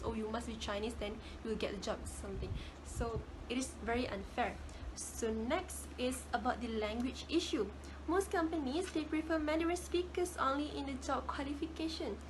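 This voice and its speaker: A woman's voice: fairly high pitch, noisy background. A young woman with a Malaysian English accent giving a casual class presentation, often repeating words as she searches for phrasing. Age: young adult. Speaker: student presenter.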